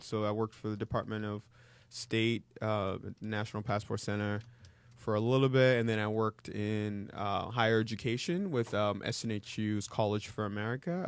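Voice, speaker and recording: Low-voiced man from Congo, dry mic. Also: gravelly, clean tone, dry recording. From Democratic Republic of Congo. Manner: measured, with frequent ums and uhs. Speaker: guest. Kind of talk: interview conversation.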